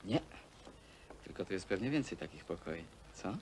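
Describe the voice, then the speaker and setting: An actor playing a terse, guarded working-class young man, quietly bossy and laconic, in naturalistic film acting. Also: deep voice, lively intonation, moderate pace, a little hiss or hum. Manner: laconic, short clipped replies.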